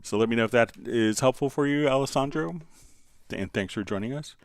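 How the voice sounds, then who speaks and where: A man's voice: mid-range voice, brisk, clean tone, no room sound, clean studio sound. A man hosting a casual tutorial livestream, explaining things step by step as he answers viewer questions. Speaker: livestream host, software instructor.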